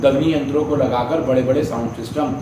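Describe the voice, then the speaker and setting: A man's voice: mid-range voice, steady tone, fast. A man presenting a news report with commentary in an emphatic way, repeating his points. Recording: echoey, distant mic, noisy background.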